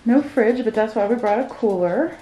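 A woman's voice: a little high, moderate pace, dark tone, a little hiss or hum, low-quality recording. A middle-aged woman chatting casually as she hosts a travel vlog, recorded on a camera mic. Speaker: vlog host. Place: cabin interior and wooded campsite.

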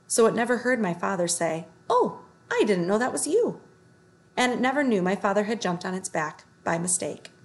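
A woman in her thirties narrating a children's story, read aloud from the book at home. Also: medium pitch. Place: small living room, indoors.

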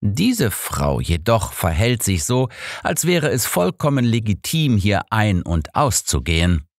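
A man playing a shrewd old detective, pitched up. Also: very animated, measured pace, dry recording, studio quality. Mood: analytical, assured. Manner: analytical, assured, formal. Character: shrewd old male master detective, calm and assured. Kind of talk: audiobook character dialogue.

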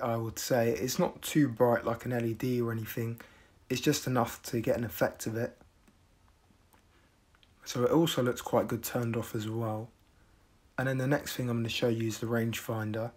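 A man from the UK narrating a casual product review in a measured, deadpan manner. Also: medium pitch. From United Kingdom.

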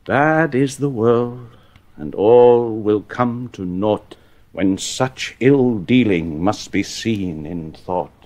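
Slow, troubled scribe. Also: male voice, lowish voice, darkish tone. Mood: wry, troubled. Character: sardonic, troubled legal scribe. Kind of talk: Shakespearean soliloquy in radio drama.

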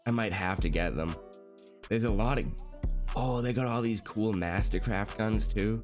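A young man with a congested, nasal voice from a cold, chatting casually as he walks through a video game, with a steady stream of fillers. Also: on the low side, faint music behind. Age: young adult. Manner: fast, chatty, with lots of "like" fillers. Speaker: gaming YouTuber. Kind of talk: casual gameplay commentary. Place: small bedroom.

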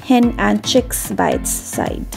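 A woman narrating a step-by-step drawing tutorial for children in an unhurried, explanatory way. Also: medium pitch, lively intonation, creaky, music in the background.

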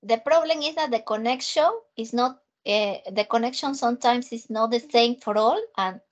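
A woman with a Peruvian Spanish accent teaching an online English class, repeating her instructions slowly and switching to Spanish. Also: a little high. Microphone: video call. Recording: muffled video call audio.

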